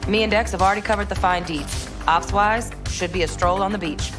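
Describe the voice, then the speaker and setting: An actress playing a brisk, confident hacker in a video game, briefing in a matter-of-fact way. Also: female voice, mid-range voice, music in the background, lightly acted. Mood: brisk, businesslike. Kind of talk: dramatic video game acting.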